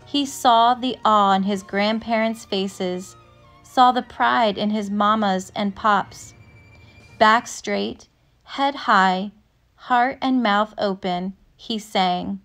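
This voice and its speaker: A woman reads a children's storybook aloud in a mid-range voice. She takes her time, phrasing each line slowly and deliberately. Music plays behind her narration. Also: mid-range voice, fairly bright tone. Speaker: narrator reading a picture book aloud.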